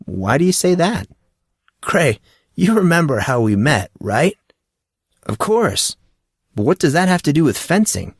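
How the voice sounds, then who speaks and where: A man's voice: fairly high pitch, big pitch swings, a little slow, long pauses, slightly rough, no echo, clean studio sound, pitched above the performer's own voice, heavily acted. A man voicing a curious, hesitant and wry fourteen-year-old boy in an audiobook. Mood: puzzled. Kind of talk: audiobook character dialogue.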